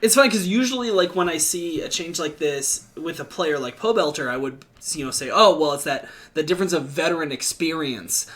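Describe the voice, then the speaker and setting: A man hosting a casual esports podcast, talking quickly with restarts and the odd swear word. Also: on the high side, fairly bright tone. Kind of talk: casual esports analysis chat.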